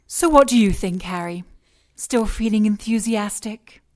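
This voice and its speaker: A woman acting a shapeshifting scientist villain in an audio drama. Her medium-pitched voice stays composed and clinical, with cold condescension and obsessive conviction. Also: unhurried, music in the background, lightly acted. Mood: taunting.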